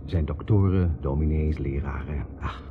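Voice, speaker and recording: A man's voice: very deep voice, normal speed. An actor playing an earnest, persuasive man in a radio drama, in a conversational way. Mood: earnest, reflective. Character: Ted, earnest persuasive middle-class American man. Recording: noisy, muffled old radio drama recording.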